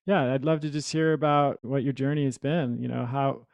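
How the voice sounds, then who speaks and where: A man hosting a health podcast, reading a scripted introduction and then asking questions in a measured, friendly way. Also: mid-range voice, steady tone, gravelly, darkish tone, no room sound. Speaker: podcast host.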